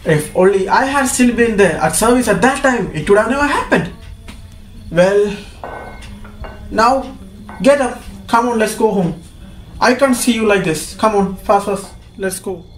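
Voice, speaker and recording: A man's voice: high voice, animated, measured pace, faint music behind. A man acting a hearty middle-aged ironmaster in a student radio drama, earnest and coaxing. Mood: urging, concerned. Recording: echoey room.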